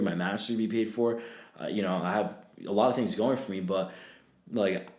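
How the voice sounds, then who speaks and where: A man in his twenties chatting loosely on a podcast, his voice a little low, filled with fillers and curses. The room echoes around him. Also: a little mellow. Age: 20s. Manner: loose, swearing, laughing, trailing fillers. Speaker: podcast guest. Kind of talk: casual chat.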